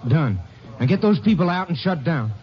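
An actor playing a crooked small-town deputy in a 1950s radio drama, blunt and defensive, with a Texan accent. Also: male voice, a little high, sing-song, normal speed. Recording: muffled old radio recording with faint hiss.

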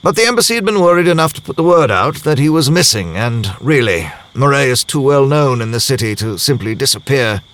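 An actor playing a worldly diplomat and spy who recounts events in a measured, dryly wry first-person audio drama narration. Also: male voice, mid-range voice, big pitch swings, a bit bright. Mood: wry, composed.

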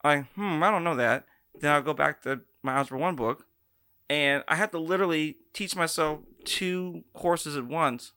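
A man's voice: on the high side, moderate pace, pushed and bright, smooth, no echo, studio quality. A man co-hosting a show, a math teacher telling a casual story about school in an easygoing, teasing way.

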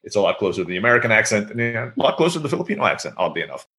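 A quick, chatty man in his thirties who grew up in Turkey tells stories as an interview guest, his speech peppered with "you know" and "I mean." His voice is fairly low in pitch but bright and forward in tone, and the words tumble out at a brisk clip. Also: big pitch swings, a little hiss or hum. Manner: chatty, storytelling with many fillers. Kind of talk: casual interview conversation.

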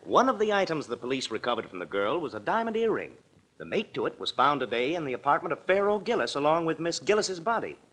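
Hesitant proper gentleman, animated pitch. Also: quick pace, rough recording. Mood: hesitant, grave. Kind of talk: 1940s radio drama acting.

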